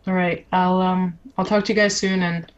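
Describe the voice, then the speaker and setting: A woman's voice: on the low side, flat delivery, normal speed, dull audio. A woman hosting a podcast, chatting casually and thanking her guests. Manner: friendly, wraps up with repeated thanks. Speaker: podcast host. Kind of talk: casual interview chat.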